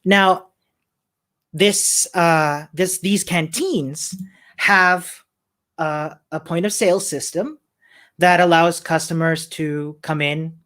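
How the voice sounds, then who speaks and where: A man's voice: on the high side, fairly even intonation, takes it slowly, bright, forceful tone. A man from Chile giving a friendly, upbeat tech talk at an online meetup, using fillers and small laughs as he goes. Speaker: conference speaker.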